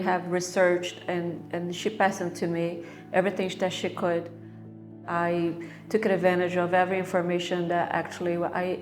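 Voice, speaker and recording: Halting Brazilian-born woman artist, flat. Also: lowish voice. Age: middle-aged. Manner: halting, searching for words, repeats words. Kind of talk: documentary interview.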